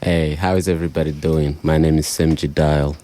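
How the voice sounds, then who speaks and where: A man's voice: extremely low pitch, flat delivery, a little fast, dark tone, faint music behind. A young adult man from Rwanda, a singer-songwriter, chatting easygoingly as a radio guest. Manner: easygoing, laughs often, chatty. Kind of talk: casual interview chat.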